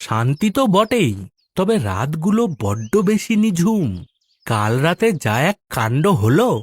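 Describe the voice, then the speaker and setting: A man playing a curious, stubborn young city visitor in an animated story, questioning everything persistently. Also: fairly high pitch, a little slow, no room sound. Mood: casual. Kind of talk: animated audio story dialogue. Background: music.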